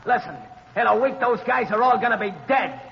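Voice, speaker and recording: A man's voice: extremely high pitch, fairly quick, vocal fry, long reverb tail. A male actor playing a defiant, menacing condemned gangster with an American accent and slangy tough talk, in 1930s radio style. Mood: menacing, mocking. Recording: dull, hissy 1930s radio recording.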